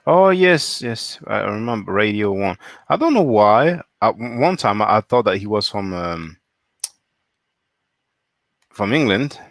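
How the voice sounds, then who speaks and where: A man's voice: on the low side, big pitch swings, a little slow, dry recording, clean studio sound. A man from France hosting a solo live stream, reading viewer comments and asking pointed rhetorical questions.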